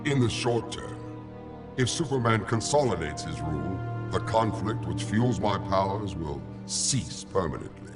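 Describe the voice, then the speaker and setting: A smug, scheming god of war, low in pitch with expressive swoops. He speaks with sly, mocking theatricality in video game style, under loud music. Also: a little slow. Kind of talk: dramatic video game cutscene acting.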